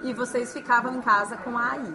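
A woman co-interviewer asking brief, casual follow-up questions. Her voice sits a little high. A loud crowd can be heard behind her. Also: fairly even intonation, a little fast.

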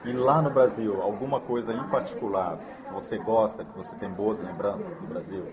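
A man hosting a relaxed interview show, asking friendly, encouraging questions. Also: on the low side, normal speed, a crowd in the background. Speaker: talk show host.

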